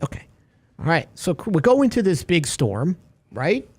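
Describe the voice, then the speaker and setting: A man's voice: a little high, normal speed, breathy edge, no room sound. A man hosting a radio talk show, chatting casually and acting out little stories as he goes. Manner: animated storyteller, acts out little scenes.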